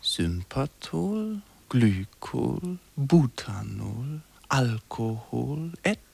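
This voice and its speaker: A performer voicing a deadpan reciter of clinical insect text in experimental radio art, clipped into isolated words and syllables. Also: mid-range voice, extremely slow, creaky, husky, exaggerated voice.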